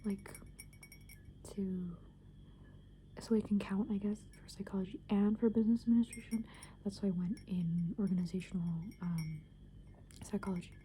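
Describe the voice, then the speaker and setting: A young woman in an unhurried ASMR ramble, her voice almost all breathy whisper with barely any tone. The sound is deeply mellow and dark, right up against the microphone. Her talk meanders with plenty of fillers. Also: fairly even intonation, slight background noise. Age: young adult. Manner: soft unvoiced whisper, rambling with many fillers. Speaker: ASMR creator.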